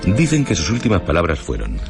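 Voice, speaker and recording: A man presenting a radio drama programme with a measured, solemn scripted introduction. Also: low-pitched, wildly swooping pitch, creaky. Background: background music.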